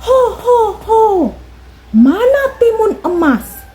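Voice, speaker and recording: A woman voicing a fierce, greedy male giant in a children's story, shouting in a high, strained voice. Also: big pitch swings, slow pace, full character voice. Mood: booming demand.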